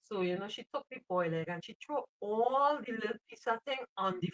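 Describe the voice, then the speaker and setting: A woman's voice: mid-range voice, expressive, a little fast. A woman with a Caribbean accent co-hosting a casual chat, talking emphatically and often checking that the listener follows. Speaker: co-host.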